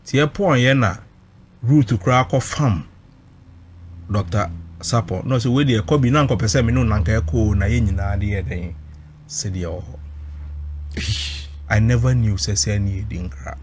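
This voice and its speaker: A man's voice: fairly low pitch, measured pace, pauses often, darkish tone, slight background noise. A Ghanaian man recounting personal experience emotionally, mixing Twi and English, emphatic and dramatic, repeating phrases for weight.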